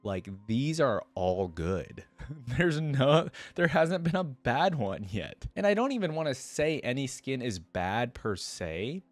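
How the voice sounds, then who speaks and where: A man's voice: mid-range voice, sing-song, takes it slowly, dry recording, soft background music. A man giving casual, unscripted reaction commentary as a video host, enthusiastic and rambling with informal, slangy wording.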